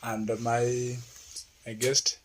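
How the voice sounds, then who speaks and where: A man's voice: mid-range voice, completely flat delivery, extremely slow, a little hiss or hum, low-quality recording. A man hosting an interview, steering it with brief prompts and questions. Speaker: host. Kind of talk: interviewing a guest.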